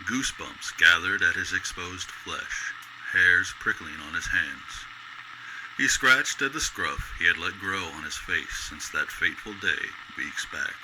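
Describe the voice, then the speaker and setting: Deep-voiced male storyteller over noisy ambience. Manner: measured, literary storytelling delivery. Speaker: narrator. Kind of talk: scripted storybook narration in golden-age radio drama style.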